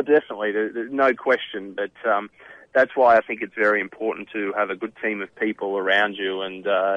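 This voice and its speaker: A man in his thirties from Australia, with an Australian accent, a professional golfer answering radio interview questions haltingly with many fillers. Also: lowish voice, no echo. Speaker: professional golfer and author, guest. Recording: tinny phone line.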